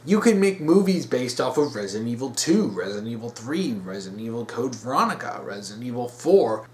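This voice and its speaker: A man's voice: medium pitch, big pitch swings, brisk. A man hosting an opinion series, speaking bluntly and emphatically and repeating phrases to drive points home. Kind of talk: opinionated commentary.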